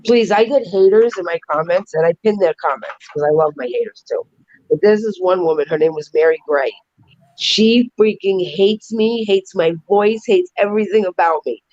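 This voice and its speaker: A middle-aged woman with a raspy voice and a New York accent, chatting and joking on a live stream. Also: medium pitch, no room sound, muffled recording. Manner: chatty, animated, quick to joke. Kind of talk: casual live stream chat.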